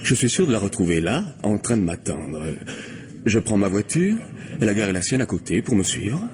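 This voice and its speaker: Low-voiced, glib, insistent gentleman. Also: very animated, brisk. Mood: smooth flattering. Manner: wheedling, stammering, talkative. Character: glib, insistent gentleman pestering a young woman. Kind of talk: old French radio play acting. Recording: noisy old radio drama recording.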